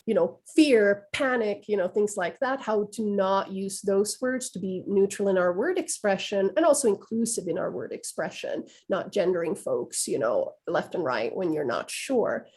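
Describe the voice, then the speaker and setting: A woman's voice: medium pitch, expressive, moderate pace, hardly any pauses, darkish tone, breathy edge. A woman whose first language is Swedish, speaking thoughtfully on a video call webinar and often restarting as she searches for words. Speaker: presenter on trauma-informed practice. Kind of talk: webinar discussion.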